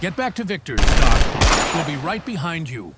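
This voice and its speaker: Man playing a high, animated tough soldier. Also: unhurried, heavy background noise. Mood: urgent. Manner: terse, commanding. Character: brisk, tough soldier leading a patrol. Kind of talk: audio comic dramatisation.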